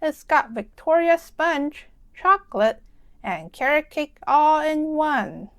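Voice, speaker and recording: A woman voicing an excited, sweet young girl pig in a children's storybook, eager and childlike. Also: high-pitched, slow pace, heavily acted. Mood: proud.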